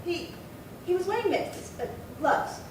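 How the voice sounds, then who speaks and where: A woman's voice: extremely high pitch, lively intonation, normal speed. A woman acting a prim, chatty, indignant old lady in her late seventies in a 1950s-style radio crime drama, fussy and rambling. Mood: prim, flustered. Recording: very echoey, distant, noisy room.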